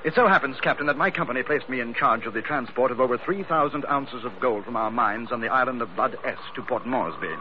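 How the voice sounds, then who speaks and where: A man's voice: medium pitch, fast talker, few pauses, close to an everyday voice. An actor playing a fussy, pompous mining company man, formal and flustered, in 1940s radio drama style. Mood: fussy urgency. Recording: noisy, muffled old radio drama recording.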